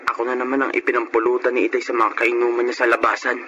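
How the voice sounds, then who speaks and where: A man's voice: medium pitch, monotone, quick pace, bright, forceful tone, muffled recording, close to an everyday voice. A young male actor playing a dutiful grown son and elder brother in an emotive Filipino radio drama. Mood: bitter. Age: young adult. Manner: earnest, emotive. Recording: radio drama with faint background noise.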